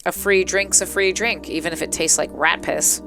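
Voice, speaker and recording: A woman's voice: lowish voice, fairly even intonation, normal speed, creaky. A woman voicing a cocky male creature with a tail in a visual novel, sardonic and teasing, over music. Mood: smug, teasing.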